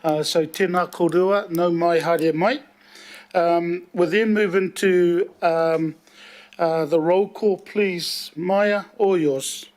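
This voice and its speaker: A man's voice: high-pitched, steady tone, darkish tone. Hesitant New Zealand man chairing a meeting. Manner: hesitant, many filler pauses; opens with a Māori prayer. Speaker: committee chair.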